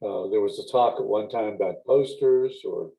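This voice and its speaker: A man at a local board meeting, speaking hesitantly with frequent pauses as he asks questions. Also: on the low side, creaky, warm tone. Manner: hesitant, lots of pauses and fillers. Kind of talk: casual meeting discussion. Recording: tinny phone-like line.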